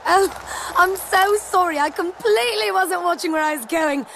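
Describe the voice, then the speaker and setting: A woman voicing a polite, admiring young female race car who flatters the hero. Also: extremely high pitch, normal speed, vocal fry, a little hiss or hum, full character voice, low-quality recording. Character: friendly young female race car fan named Emma.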